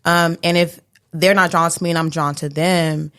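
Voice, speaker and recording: A young American woman with a deep alto voice, speaking in a composed, slightly monotone way as a casual interview guest. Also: moderate pace, smooth, no echo, clean studio sound. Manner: composed, serious, somewhat monotone. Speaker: guest, rapper and singer.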